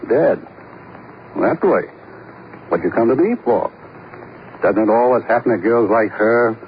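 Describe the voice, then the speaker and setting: A man's voice: medium pitch, animated, unhurried, natural voice. An actor playing a defensive, boastful married man with an American accent and slangy, protesting speech in a 1950s radio crime drama. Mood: sneering, defensive. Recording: muffled, hissy old radio broadcast.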